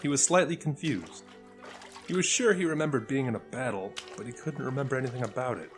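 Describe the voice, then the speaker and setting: A man reading scripted fantasy narration as a storyteller, with dramatic, deliberate delivery. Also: medium pitch, soft background music.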